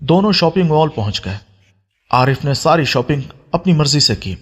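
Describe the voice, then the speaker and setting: A man's voice: on the high side, lively intonation, normal speed, husky. A man reading a scripted story as an audiobook narrator.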